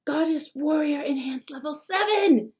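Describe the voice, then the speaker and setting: Lady doing a jittery 12 yr old boy begging, high voice. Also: a little slow, a little mellow. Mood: nervous pleading. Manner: trembling, pleading, nervous. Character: nervous twelve-year-old boy.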